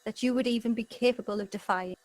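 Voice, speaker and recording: A woman's voice: on the high side, steady tone, quick pace, lightly acted, low-quality recording. A woman voicing a haughty, scornful villainess mother from a fantasy game, formal and cold. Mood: haughty, bitter. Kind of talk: reading fantasy game dialogue aloud. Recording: game music behind the voice.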